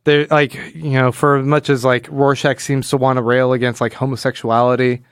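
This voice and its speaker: A man co-hosting a podcast, casually discussing comics in a halting way, repeating words as he goes. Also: medium pitch, flat delivery, smooth, dry recording, studio quality. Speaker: podcast co-host.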